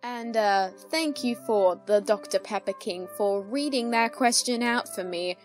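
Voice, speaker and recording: A woman's voice: medium pitch, a little slow. A woman hosting a casual question-and-answer session, talking in a hesitant, rambling, lightly amused way. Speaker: YouTube host answering fan questions. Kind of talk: casual Q&A chat. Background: soft music.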